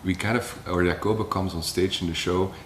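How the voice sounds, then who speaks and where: A man's voice: sits low, moderate pace, echoey room, a little hiss or hum. A young man from Belgium, a circus acrobat, chatting casually with lots of fillers like "like" and "uh". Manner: relaxed, filler-heavy with frequent "like". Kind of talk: casual interview talk.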